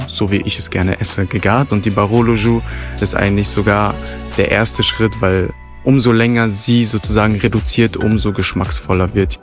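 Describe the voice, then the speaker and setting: A man with a low voice speaks easily and at length as a restaurant host in a relaxed interview. He describes things fluently and fills gaps with small filler phrases. Faint music runs underneath. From Germany. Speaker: restaurant host, son of the owner. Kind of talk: casual radio interview. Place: restaurant terrace.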